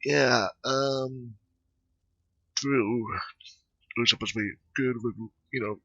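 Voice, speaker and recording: A man giving casual, rambling commentary over a game playthrough, halting and often repeating himself. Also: mid-range voice, steady tone, a bit bright, no room sound. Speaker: gaming video host. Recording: dull, lo-fi close dry mic.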